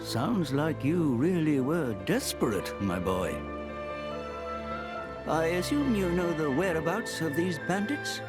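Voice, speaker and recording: A composed man from a video game, speaking slowly in a fairly high male voice. Loud music plays behind him. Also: big pitch swings. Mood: calm, probing. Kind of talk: video game cutscene acting.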